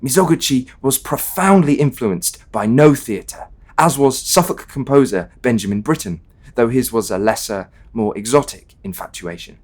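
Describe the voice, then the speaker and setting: Measured man reading a literary essay. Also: medium pitch, animated. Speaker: reader of an essay. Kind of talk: scripted literary narration.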